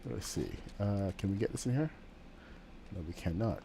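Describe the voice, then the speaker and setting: A man's voice: lowish voice, moderate pace, creaky, dark tone, a little hiss or hum. A man streaming a building game, talking casually while he builds, with a cold that makes him sniffle, rambling with lots of ums and restarts. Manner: rambling, with frequent fillers and restarts.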